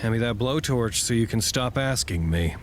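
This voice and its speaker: A man's voice: mid-range voice, animated, normal speed, background noise, close to an everyday voice. A man playing a prickly, weary site staffer in an audio drama, with a dry, irritable manner. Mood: irritated.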